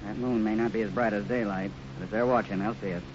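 Man as fatherly western posse leader, lowish voice, quick, serious, very noisy old radio recording. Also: fairly even intonation, dull audio, natural voice. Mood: grave. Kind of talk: 1950s radio western acting.

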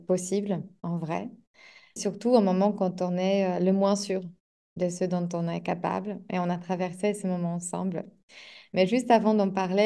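Woman, podcast host and coach, low soft-toned voice, halting with fillers. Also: creaky. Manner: hesitant, many fillers and restarts in conversation. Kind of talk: scripted intro, then hesitant interview chat.

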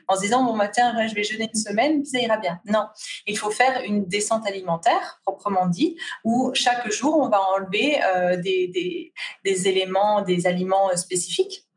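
A woman, the guest, chatting about cooking and fasting with many fillers and restarts. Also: medium pitch, roomy sound, distant mic. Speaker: guest, fasting retreat organizer. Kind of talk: casual conversation.

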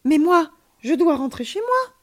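A woman's voice: very high voice, sing-song, unhurried, voice pushed up, full character voice. A woman voicing a curious, easily amazed young boy in a children's audiobook, full of wondering questions. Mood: eager.

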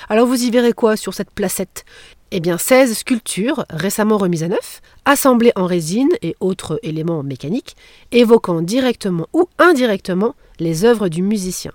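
A woman's voice: medium pitch, a little mellow, no echo, studio quality. Playful woman hosting an arts podcast. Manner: playful, jokey asides. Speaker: podcast host. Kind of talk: scripted podcast narration.

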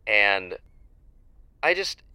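A man playing an anxious, insecure aspiring novelist calling a radio show in an audio drama, halting and flustered. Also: on the low side, very animated, sharp tone, smooth, no room sound, heavily acted. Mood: indignant, flustered. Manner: halting, flustered, self-doubting. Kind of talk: semi-improvised audio drama.

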